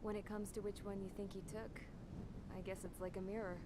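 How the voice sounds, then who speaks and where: A woman's voice: medium pitch, level tone, brisk, very noisy, lightly acted. An actress playing a blunt young female mechanic in a game cutscene, speaking in a casual, slangy, matter-of-fact way. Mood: calm, questioning. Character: blunt, plain-spoken young female mechanic telling her story. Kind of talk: game cutscene dialogue and narration.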